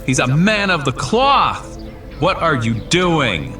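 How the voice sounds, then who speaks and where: An actor playing a protective, loyal husband and friend in an audio drama, speaking with earnest concern. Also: male voice, on the high side, big pitch swings, takes it slowly, music in the background. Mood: alarmed, urgent.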